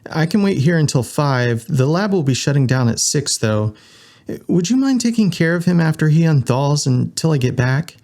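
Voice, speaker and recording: A man's voice: fairly high pitch, expressive, moderate pace, darkish tone, voice pushed up. A man voicing a breezy, evasive female scientist, a furry animal character in an audio story. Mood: businesslike.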